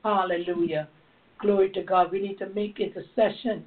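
A hoarse woman hosting a prayer line, leading intercession fervently and repeating words of praise. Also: mid-range voice, fairly even intonation, normal speed. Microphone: phone call. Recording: tinny phone line.

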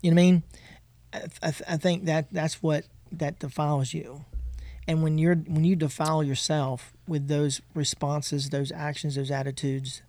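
A man with a noticeably high voice and a lilt chats as a podcast co-host. He tells stories with lively emphasis and backs his partner with firm agreement. The sound is dry and close, with no room around him. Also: moderate pace, dry recording. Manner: animated storytelling, emphatic agreement.

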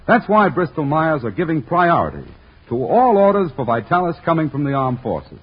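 Friendly druggist pitching on 1940s radio. Explanatory, rough husky voice, muffled vintage sound. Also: male voice, very animated, moderate pace. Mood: earnest, reassuring. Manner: earnest, explanatory. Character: friendly, earnest drugstore druggist, patient sales pitch. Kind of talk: 1940s radio comedy and advertising. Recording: dull 1940s radio broadcast.

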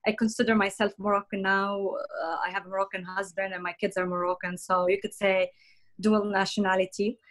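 Middle-aged woman from Jordan, interview guest, halting speech full of fillers. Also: mid-range voice, level tone, a bit bright. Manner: hesitant, many fillers and restarts. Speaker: podcast guest. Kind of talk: conversational interview.

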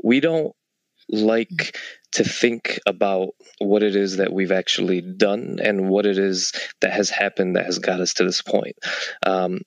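Male guest, young adult, fairly low pitch, measured conversational answers with rhetorical questions, dry recording. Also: vocal fry, no echo, poor audio. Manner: deliberate, builds points with repeated rhetorical questions. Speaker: interview guest, civil servant. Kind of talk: thoughtful conversational interview answer.

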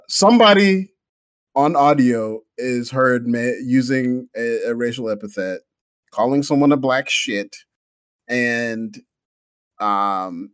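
A man's voice: mid-range voice, dry recording, studio quality. A man with a Jamaican accent co-hosting a football podcast, talking in a heated, indignant way with many restarts. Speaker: podcast co-host. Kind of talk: casual football podcast chat.

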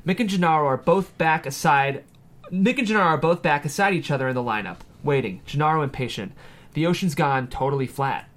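A man with a fairly high voice narrates a screenplay at a table read. He reads the action dramatically, with very lively, swinging intonation. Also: brisk. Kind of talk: scripted narration, reading stage directions aloud.

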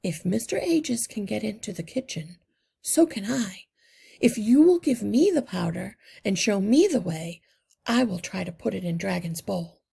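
A woman's voice: mid-range voice, lively intonation, unhurried, gravelly, warm tone, slightly rough, full character voice. A woman reading aloud and voicing a worried but determined mother mouse, pleading earnestly.

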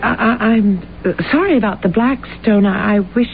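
An actress playing a shy, naive young librarian in an old radio drama, polite and hesitant. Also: female voice, fairly high pitch, moderate pace, creaky. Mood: shy, flustered. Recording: muffled, noisy old radio broadcast recording.

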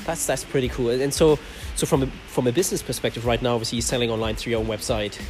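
A man hosts a casual interview, asking long questions full of repeated stammered restarts. His voice sits at an ordinary middle pitch. Music plays clearly behind him. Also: steady tone, fast. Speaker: podcast host.

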